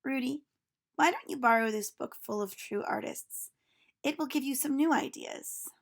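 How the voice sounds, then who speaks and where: A woman reading a storybook as a self-important male artist who explains things in a haughty, measured way. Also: high-pitched. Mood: encouraging. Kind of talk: storybook read-aloud.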